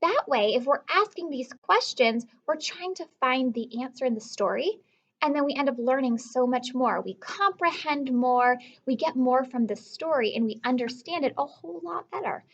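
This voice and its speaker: A woman teacher reading a story aloud to children, expressively, pausing to instruct and question her young listeners directly. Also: high voice, lively intonation, a bit bright, husky, muffled recording. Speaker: elementary school teacher.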